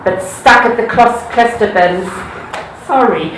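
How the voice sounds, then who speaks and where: A woman's voice: mid-range voice, unhurried, slight background noise. Woman with a cardiff accent reading poems. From Wales. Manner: lively, chatty asides between poems. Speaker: poetry reader. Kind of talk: live poetry reading. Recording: echoey room.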